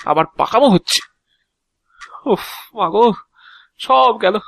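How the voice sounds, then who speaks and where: Man playing a high-pitched, flustered, stammering fellow. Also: lively intonation, takes it slowly, creaky, a bit bright, dry recording, heavily acted. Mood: exasperated. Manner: stammering, repeating words, pleading and protesting. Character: flustered, exasperated, anxious working man. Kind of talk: Bengali audio drama acting.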